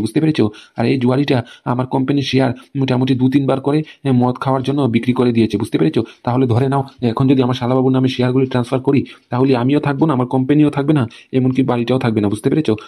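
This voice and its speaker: An aggrieved husband and boss from a comic cartoon , acted by a man, explains himself in a mellow voice at breakneck speed. He is emphatic and scheming, forever checking that he is being understood. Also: medium pitch, lightly acted.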